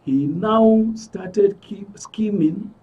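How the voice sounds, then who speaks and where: A man's voice: high-pitched, extremely slow, dark tone, slightly rough, distant mic, rough recording. A middle-aged Nigerian man giving an earnest, emphatic account as a guest in a broadcast interview, repeating phrases for stress. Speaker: studio guest, aggrieved club member. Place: radio and TV studio.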